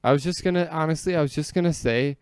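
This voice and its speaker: A man's voice: fairly high pitch, fast, clear, resonant tone, no room sound, studio quality. An American man telling stories in casual, comedic podcast chat, joking and peppering his talk with "like".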